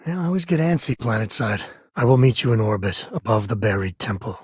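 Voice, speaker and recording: An actor playing a rugged, folksy space-frontier ranger and devoted father in a video game, with a husky voice and an easygoing, sincere way of talking. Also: mid-range voice, lively intonation, brisk, no room sound, studio quality. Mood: easygoing, wry. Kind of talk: acted game companion dialogue.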